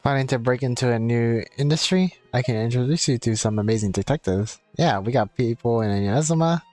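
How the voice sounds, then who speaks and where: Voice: mid-range voice, fairly quick, darkish tone, no room sound, faint music behind. A streamer playing a game, giving offhand, conversational commentary and reading dialogue choices aloud.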